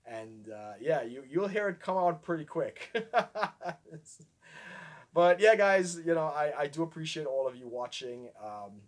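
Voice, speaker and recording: A man in his forties from Trinidad and Tobago with a light Trinidadian accent, talking casually to camera in a clear, projected speaking voice with frequent ums and little laughs. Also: a little high, lively intonation, unhurried. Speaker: vlogger.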